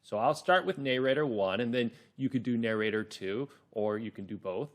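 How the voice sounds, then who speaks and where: A man's voice: medium pitch, moderate pace. A man leading a read-along lesson for children, explaining things simply and expressively.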